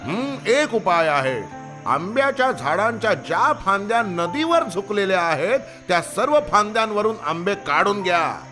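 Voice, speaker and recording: High, animated monkey king. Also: unhurried, over music. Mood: grave, cautioning. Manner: earnest, commanding, dramatic. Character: noble selfless monkey king, protective leader. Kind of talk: children's cartoon story voice acting.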